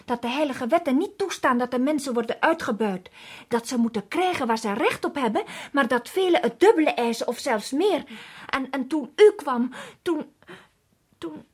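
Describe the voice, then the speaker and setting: An actress playing a timid, deferential village maidservant in a radio drama, speaking hesitantly. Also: female voice, sits high, lively intonation, normal speed, slightly rough. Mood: earnest.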